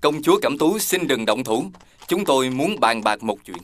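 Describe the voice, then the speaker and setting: A man voicing a sly, scheming young prince in an animated fairy tale, smooth-tongued and courtly. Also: medium pitch, normal speed, lightly acted. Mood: flattering, sly.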